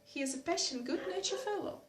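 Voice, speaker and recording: A soft-spoken, good-natured young nobleman, voiced by a woman reader, hesitant and unhurried. Her voice stays high and sounds roomy and echoing. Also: animated, noisy background. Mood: gentle. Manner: mild, hesitant, slow. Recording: roomy echo.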